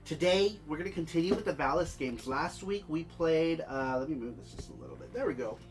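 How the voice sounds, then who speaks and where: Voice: medium pitch, animated, a little fast. A livestream host with a head cold and congested, stuffy-nosed sound, chatting casually and reading out viewer comments. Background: background music.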